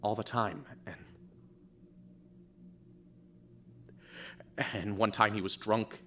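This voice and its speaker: A man voicing a shaken teenage boy in a fan audio drama, who talks haltingly and stumbles over repeated words. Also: lowish voice, fairly bright tone, slight background noise, lightly acted. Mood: broken, hushed.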